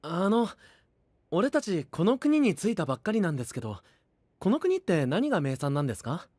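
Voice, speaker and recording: A man voicing a sensible, gentle young traveler, cautious and mildly exasperated, in an animated audio drama. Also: sits high, a little fast, no echo, studio quality. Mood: polite. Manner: soft-hearted, cautious, questioning. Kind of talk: animated Japanese voice drama acting.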